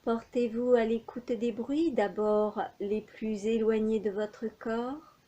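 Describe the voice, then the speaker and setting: A woman leading a guided yoga nidra relaxation as an instructor, giving calm, soothing, measured instructions with long pauses. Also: fairly high pitch, highs cut off.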